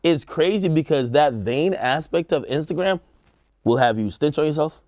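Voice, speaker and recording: A man's voice: fairly high pitch, animated, moderate pace, a little mellow, no room sound, clean studio sound. A man co-hosting a casual panel talk, giving emphatic opinions with plenty of slang and swearing. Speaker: show co-host giving opinions.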